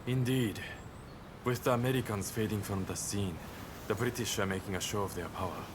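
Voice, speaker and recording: A man's voice: lowish voice, brisk, noisy background, close to an everyday voice. A man playing a cool, sardonic samurai plotter in a video game cutscene, dry and understated. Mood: grave. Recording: music behind the voice.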